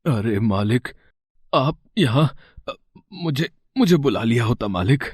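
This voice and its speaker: A poor, middle-aged farmer father from a storybook drama, acted out by a man. His voice is husky and dry, mid-pitched. He speaks humbly and haltingly, weighed down with worry. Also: expressive, no room sound. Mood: humble, flustered. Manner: hesitant, deferential, anxious.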